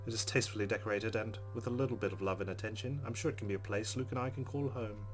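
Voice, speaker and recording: A man's voice: on the low side, steady tone, very fast, faint music behind. A man reading a visual novel aloud as a let's play host, with casual commentary.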